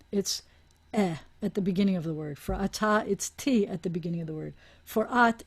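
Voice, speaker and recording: Woman, teacher, mid-pitched, talks things through, video call audio. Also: warm tone. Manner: explanatory, thinks aloud with restarts and small laughs. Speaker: Hebrew teacher. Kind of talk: online class teaching.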